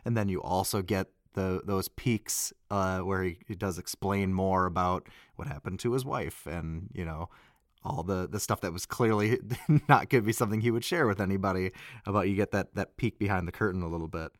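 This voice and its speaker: A man with a deep voice, recorded very dry, chats casually as a voice actor looking back on his work. His delivery is loose and halting, and he often corrects himself mid-thought. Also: no room sound. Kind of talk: casual recap conversation.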